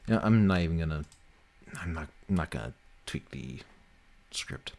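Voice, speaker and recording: Male streamer, low voice, informal and sweary, chatting casually while he works. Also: expressive, moderate pace, a little mellow. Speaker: livestream host and game developer. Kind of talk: casual livestream chat, thinking aloud while working.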